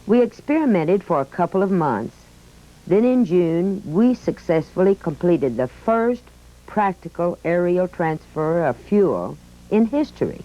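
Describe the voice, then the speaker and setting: A woman's voice: fairly low pitch, mellow. A woman voicing an old retired army biplane who tells its story in a folksy, reminiscing way, with an American accent, in scripted museum narration. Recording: dull lo-fi audio with faint music.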